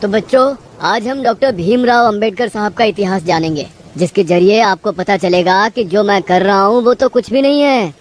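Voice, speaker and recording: A kind male village schoolteacher, acted by a woman, speaks earnestly at a middling pitch. It is caring cartoon story dialogue with a faint noise underneath. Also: normal speed, few pauses, lightly acted. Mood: instructive.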